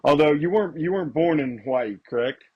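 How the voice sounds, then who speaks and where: A man co-hosting a bird podcast, chatty and quick with questions for his guest. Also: fairly high pitch, husky, lo-fi sound. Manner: chatty, asks questions, frequent restarts. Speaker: podcast co-host. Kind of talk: casual chat.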